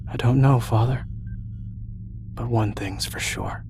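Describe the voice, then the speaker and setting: A man's voice: medium pitch, slow, vocal fry, darkish tone, soft background music. A man playing a serious teenage turtle team leader in an audio drama, level-headed and direct. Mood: resolute.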